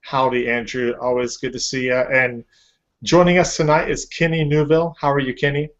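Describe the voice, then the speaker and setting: A man's voice: medium pitch, flat delivery, a little fast. A man hosting a casual podcast, chatty and upbeat, with fillers. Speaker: podcast host. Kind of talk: casual chat. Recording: lo-fi podcast audio.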